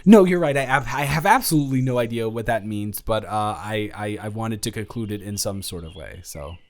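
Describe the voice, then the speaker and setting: A man's voice: fairly low pitch, lively intonation, brisk. A young man playing an awkward, smitten, introverted college dormmate in a romantic audio roleplay, rambling and stammering in a flustered way. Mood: shy, sincere. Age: young adult. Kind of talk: intimate romantic audio roleplay, conversational acting.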